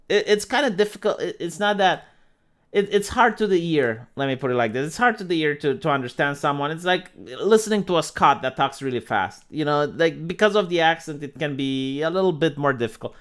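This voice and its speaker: Animated sarcastic streamer man. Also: on the high side, fairly quick. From Moldova. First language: Romanian. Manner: animated and sarcastic, repeats words when starting. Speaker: live streamer. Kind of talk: casual reaction commentary.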